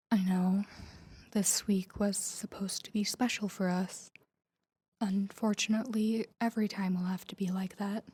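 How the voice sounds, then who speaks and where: A woman performs a female crime boss confiding in a lover in an intimate audio drama. The voice is warm and relaxed with a dark, mellow tone, often sinking close to a whisper. The delivery is measured and earnest, guarded but tender. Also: mid-range voice, husky. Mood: earnest, regretful. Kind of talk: intimate scripted audio roleplay addressed to the listener.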